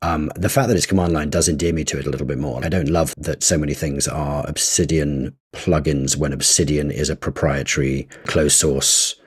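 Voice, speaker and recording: A man with an extremely deep, husky voice co-hosts a casual tech podcast. He is thoughtful and agreeable and often drops in short words of agreement. He talks things through in long, reflective sentences. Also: steady tone, a little fast, hardly any pauses. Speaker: podcast co-host.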